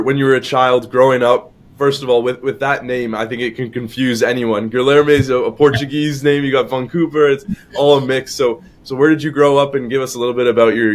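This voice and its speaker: A man hosting a podcast, asking casual questions and repeating words as he sets them up. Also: medium pitch. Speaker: podcast host.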